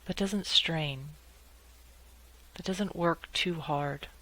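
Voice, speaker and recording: A woman leading a guided meditation in a calm, measured way, leaving long pauses between short phrases. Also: low-pitched, low-quality recording.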